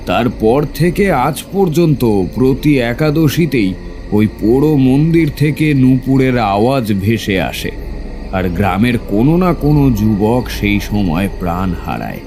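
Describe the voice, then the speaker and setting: A man acts out the doomed heir of a cursed landlord family in a horror audio drama. He speaks very slowly and gravely, haunted and afraid, with soft music running underneath. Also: mid-range voice, lively intonation. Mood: ominous storytelling.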